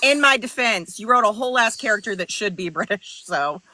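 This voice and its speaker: A woman co-hosting a casual online panel chat as an author, animated, laughing and swearing freely. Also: mid-range voice, brisk, dry recording. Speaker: author and co-host.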